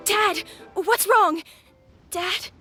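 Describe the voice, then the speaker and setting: An anxious little child from a video game. The voice is extremely high and sharply bright. It sounds pleading and frightened, with soft music in the background. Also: female voice, very slow, full character voice. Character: anxious little child worried about dad.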